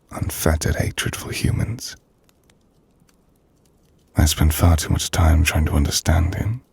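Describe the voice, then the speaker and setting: A man playing a melancholy, gentle half-vampire nobleman in an intimate ASMR roleplay, speaking in a hushed, breathy, formal and reflective manner. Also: no echo, full character voice. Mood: sombre, grieving. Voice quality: low, gravelly, breathy. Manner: hushed, breathy, slow reflective delivery with formal, measured phrasing. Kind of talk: ASMR audio roleplay, intimate dramatic monologue.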